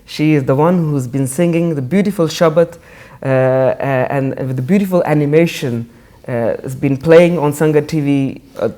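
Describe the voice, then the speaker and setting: A man's voice: a little high, moderate pace, dark tone. A man hosting a TV interview, eagerly affirming and speaking with many fillers. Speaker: TV interviewer, host.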